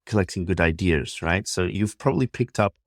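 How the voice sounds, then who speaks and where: A man's voice: low voice, brisk, no room sound, studio quality. A man hosting a podcast, reading a polished intro and then interviewing his guest with enthusiasm. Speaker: podcast host.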